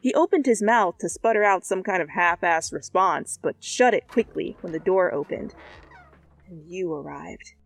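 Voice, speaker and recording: A woman reading fan fiction aloud in an expressive, comedic style, with dramatic and conversational asides, close to the mic. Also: fairly low pitch, normal speed, vocal fry, dry recording. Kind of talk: expressive, comedic story narration. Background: faint background music.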